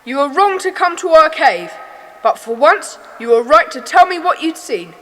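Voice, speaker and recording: An actress playing a bossy, indignant young schoolboy in a children's audio drama, with a scolding, commanding manner. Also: female voice, high-pitched, lively intonation, normal speed, fairly bright tone, a little hiss or hum. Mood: stern. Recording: long hall-like reverb.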